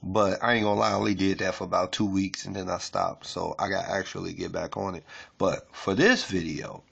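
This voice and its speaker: A man casually streaming as he works through a language lesson, rambling with fillers and reading aloud haltingly with restarts. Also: deep voice, flat delivery, vocal fry, fairly bright tone, husky, muffled recording. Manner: rambling, loose and unpolished, with many fillers. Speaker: self-taught learner reading a lesson. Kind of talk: casual screen-share stream.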